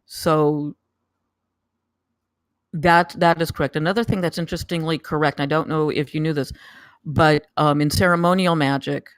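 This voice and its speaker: A person giving casual spoken feedback on a script, haltingly, often repeating words and phrases. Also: medium pitch, steady tone, clear, resonant tone, dry recording. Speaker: table read participant giving script feedback.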